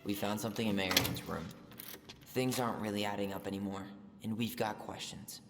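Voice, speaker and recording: A man's voice: fairly low pitch, steady tone, a little fast, gravelly, big-room sound, noisy background. An actor playing a blunt, resentful young man in a video game, terse and sardonic. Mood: guarded, dry. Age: young adult. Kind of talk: naturalistic video game acting.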